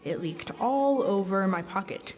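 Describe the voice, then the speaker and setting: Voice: medium pitch, animated, unhurried, darkish tone, close to an everyday voice. A performer playing a hapless, put-upon teenage customer, hesitant and stammering, in a classic-style radio play. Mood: flustered. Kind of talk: live stage radio drama in classic style. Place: stage in a hall, live performance. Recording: echoey stage hall recording.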